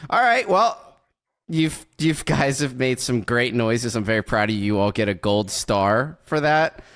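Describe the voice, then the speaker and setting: A man's voice: medium pitch, expressive, measured pace, a bit bright. A man hosting a casual group podcast, talking in a teasing, jokey way. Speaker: podcast host.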